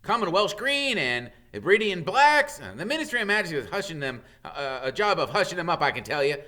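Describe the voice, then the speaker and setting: Man voicing an excitable high-pitched know-it-all schoolboy. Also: a little fast, full character voice. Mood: insistent. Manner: excitable, insistent.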